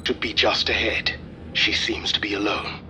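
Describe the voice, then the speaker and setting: A man playing a calm, dutiful military operations officer giving matter-of-fact briefings in a video game. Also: lowish voice, moderate pace, noisy background, lightly acted. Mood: composed, businesslike.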